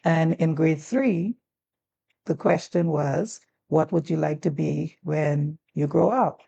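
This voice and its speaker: A woman's voice: deep voice, takes it slowly, gravelly, breathy edge, lo-fi sound. A woman in her sixties with a Turks and Caicos accent, a talk-show guest telling stories from her life and acting out the dialogue. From Turks and Caicos Islands. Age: 60s. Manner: animated storyteller who acts out quoted dialogue. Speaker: guest, former teacher and district commissioner. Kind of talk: relaxed storytelling in a talk-show conversation.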